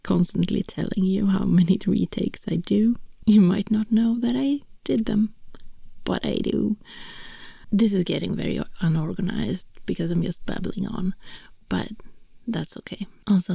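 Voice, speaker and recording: Swedish-accented woman, close-mic whispered ASMR chat, slow and gravelly, dry sound. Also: on the low side, dry recording. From Sweden. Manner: soft rambling whisper. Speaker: voice actor.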